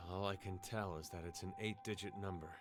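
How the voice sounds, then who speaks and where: An actor playing a calm, guarded man in a mystery video game, speaking in a terse, matter-of-fact way. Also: low voice, normal speed, close to an everyday voice. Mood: matter-of-fact, resigned. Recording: loud game music behind.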